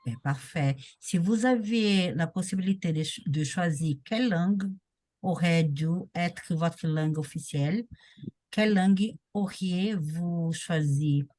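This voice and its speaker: Slow, low-voiced woman from Brazil. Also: a little mellow, no echo. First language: Portuguese. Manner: slow, hesitant, restarts words. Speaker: participant. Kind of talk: casual language practice chat.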